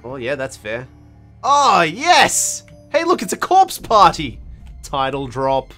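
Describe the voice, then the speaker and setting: A man's voice: on the high side, huge pitch swings, takes it slowly, pushed and bright, faint music behind. A young Australian man casually commenting on a game he is streaming, with wry, self-mocking asides and a slightly strained voice. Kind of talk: casual let's play commentary.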